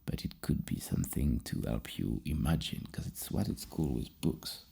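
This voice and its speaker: Very deep, gravelly soft-spoken ASMR man. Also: animated. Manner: slow, halting, rambling, dropping into whispers. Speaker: ASMR roleplayer playing a psychologist giving a personality questionnaire.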